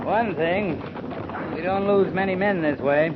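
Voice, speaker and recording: An actor playing a tight-lipped cavalry captain who gives curt orders, in 1950s radio drama style. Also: male voice, high voice, unhurried. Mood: weary. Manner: clipped, curt commands. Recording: muffled 1950s radio broadcast with hiss.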